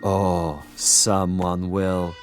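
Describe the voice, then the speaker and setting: Slow, suave villainous commissar. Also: male voice, deep voice, level tone, faint music behind. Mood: smug, menacing. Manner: pompous, mockingly polite, deliberate. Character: suave, menacing male commissar, patient schemer turning furious. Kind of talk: radio drama acting.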